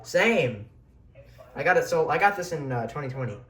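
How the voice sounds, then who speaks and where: Bright-voiced man, enthusiastic hobbyist. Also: fairly high pitch, expressive, normal speed. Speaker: collector giving a tour of weather radios. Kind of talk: casual show-and-tell vlog.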